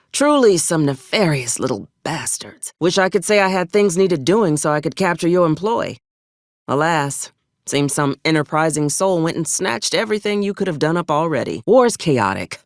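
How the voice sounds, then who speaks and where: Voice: mid-range voice, no room sound, studio quality. An actor playing a stern, pragmatic military officer in a video game, wry and coolly menacing, with clipped, formal frontier phrasing. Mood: dry, wry. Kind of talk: scripted video game dialogue.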